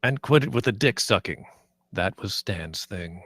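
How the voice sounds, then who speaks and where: A man's voice: on the low side, expressive, measured pace, fairly bright tone, dry recording, studio quality, full character voice. A man playing a blunt, irritable spaceship captain in a sci-fi comedy table read. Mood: irritated, commanding.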